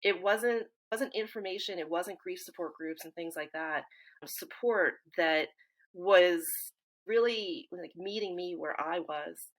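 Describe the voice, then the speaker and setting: A woman from the US East Coast, a guest in an interview, speaking in a reflective, measured way with frequent fillers and restarts. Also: fairly low pitch. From East Coast of the United States. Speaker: interview guest, grief support founder.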